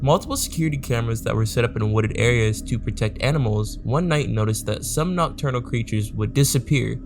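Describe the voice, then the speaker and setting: Male narrator, gravelly voice, measured scripted read with slowed emphasis, music bed underneath. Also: mid-range voice. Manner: steady, measured reading with slowed emphasis on key terms. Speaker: YouTube horror explainer.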